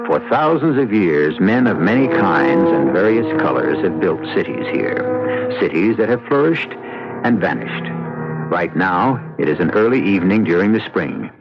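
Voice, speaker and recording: A man hosting a radio mystery series, narrating from a script in a measured, theatrical manner. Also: deep voice. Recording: muffled vintage radio, music behind.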